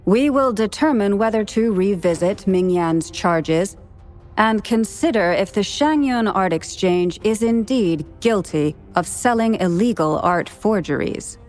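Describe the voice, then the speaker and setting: An actress playing a composed female judge presiding over a hearing, speaking in a formal, measured, authoritative way. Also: medium pitch, expressive, a little mellow, lightly acted. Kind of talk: video game voice acting. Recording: game audio with faint background music. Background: faint music.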